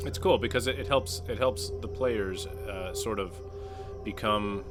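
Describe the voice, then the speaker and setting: A man's voice: fairly low pitch, unhurried, over loud music. A man co-hosting a tabletop game podcast, chatting casually and adding joking asides. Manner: joking asides, friendly chat. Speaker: podcast co-host.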